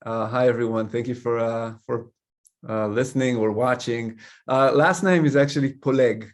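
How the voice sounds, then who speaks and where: Man, thoughtful and measured. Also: medium pitch. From Israel. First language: Hebrew. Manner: thoughtful, measured, frequent uh fillers. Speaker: guest, company CEO. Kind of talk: casual interview chat.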